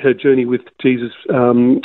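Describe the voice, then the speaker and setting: A man's voice: medium pitch, flat delivery, moderate pace, no room sound. Older aussie guy telling his story, lots of ums and restarts, sounds like a tinny phone line, dry. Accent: Australian. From Melbourne, Australia. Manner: rambling, many restarts and fillers. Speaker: interview guest. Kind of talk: casual interview storytelling.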